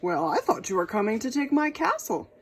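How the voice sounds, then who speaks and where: Woman acting a high, shouting storybook king. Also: big pitch swings, brisk, gravelly, slight background noise, heavily acted. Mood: defensive. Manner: shouting, indignant, commanding. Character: powerful, blustering, accusing storybook king. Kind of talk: children's storybook read-aloud.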